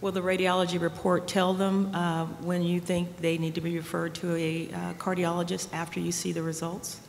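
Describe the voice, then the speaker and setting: A woman reading out audience questions sent online, plainly and flatly. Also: fairly low pitch, moderate pace, creaky, slight background noise. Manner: flat, reading questions aloud. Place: large conference ballroom. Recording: echoey hall with long reverb.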